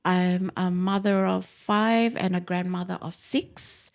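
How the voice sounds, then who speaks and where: A woman's voice: on the low side, fairly even intonation, slow pace, creaky. A middle-aged woman, born in Fiji, talking earnestly and frankly as a guest in an interview. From born in Fiji, raised in Australia. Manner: earnest, frank, speaks in loose run-on phrases. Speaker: podcast guest. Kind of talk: candid interview conversation.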